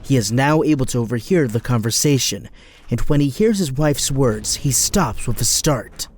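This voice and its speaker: A man's voice: mid-range voice, expressive, normal speed, husky. A man giving dramatic scripted narration as the narrator of an action audio drama.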